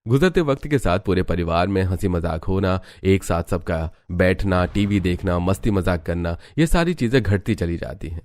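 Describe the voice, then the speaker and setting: A man with a very low voice narrates a family cartoon story. He delivers scripted storytelling lines as the narrator between the characters' scenes. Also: expressive, moderate pace, few pauses, a little mellow, dry recording.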